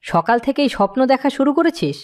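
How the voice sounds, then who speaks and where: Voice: high voice, sing-song, fairly quick. A performer voicing a kind, humble, encouraging village father in a cartoon moral story. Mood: gentle. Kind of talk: animated moral story dialogue.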